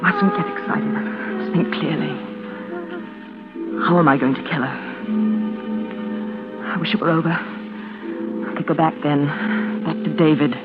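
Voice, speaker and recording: A tinny vintage radio drama with loud music. a bitter, scheming woman looking after her mad sister. Her delivery is intense and melodramatic, swinging widely in pitch. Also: wildly swooping pitch, measured pace, pauses often, heavily acted. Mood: cold, scheming. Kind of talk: 1940s radio suspense acting.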